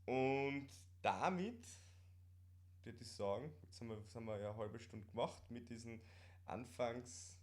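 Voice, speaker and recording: A man's voice: medium pitch, a little slow, darkish tone. A man with an Austrian accent chatting casually as co-host of a live stream, in colloquial dialect and often repeating words.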